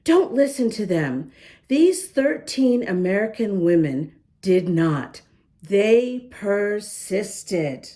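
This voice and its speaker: A woman reading a picture book aloud to young children, gently pausing to talk to them and show the pages. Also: medium pitch, animated. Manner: gentle, slow, talks to young listeners. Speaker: children's storybook reader. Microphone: camera close up, showing the book.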